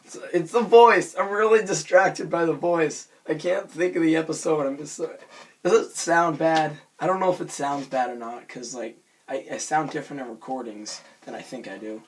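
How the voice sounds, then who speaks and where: A hoarse young man with a raspy voice, rambling casually through a self-conscious vlog with many fillers and restarts. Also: fairly high pitch, moderate pace, slight background noise. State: hoarse from screaming. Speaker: vlogger reviewing a cartoon episode.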